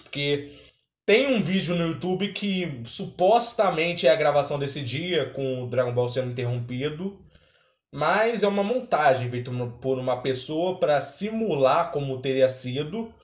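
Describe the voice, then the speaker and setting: A Brazilian man hosting a reaction video with casual commentary, recorded in a roomy space. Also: on the high side.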